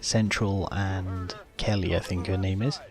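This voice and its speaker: A man's voice: sits low, fairly even intonation, measured pace. A man hosting a gaming video, giving plain step-by-step explanatory commentary on the gameplay. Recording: faint game music behind voice. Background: game soundtrack.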